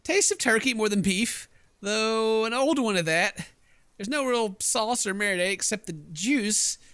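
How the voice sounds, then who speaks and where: Dude streaming a game, jokey rambly, bright punchy voice thats a bit high, super dry close sound. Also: male voice, expressive, a little slow, clear, resonant tone, no room sound. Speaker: let's play streamer. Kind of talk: casual gameplay commentary with read-aloud narration.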